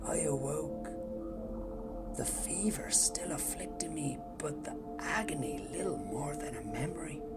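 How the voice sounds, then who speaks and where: A woman playing a brooding plague-cult devotee, low-voiced. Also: lively intonation. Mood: reverent whisper. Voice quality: hushed, often whispering. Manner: intense, brooding, slow and deliberate. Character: embittered orphan turned devoted plague-god cultist. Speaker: audio drama narrator-character. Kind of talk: first-person dramatic monologue. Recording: loud music score underneath. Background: loud music.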